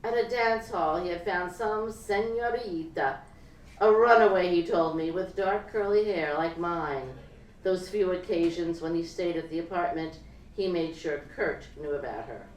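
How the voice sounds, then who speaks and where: A woman giving an expressive live reading of literary short fiction, acting out the dialogue dramatically. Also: fairly low pitch, measured pace. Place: live reading event, in a room before an audience. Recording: roomy live hall recording, distant mic, noisy.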